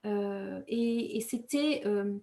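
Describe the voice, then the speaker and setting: A woman from Rwanda, raised speaking Kinyarwanda, giving thoughtful interview answers as an author, measured and pausing often to find her words. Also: mid-range voice, gravelly, mellow. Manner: thoughtful, measured, with frequent hesitations and self-corrections.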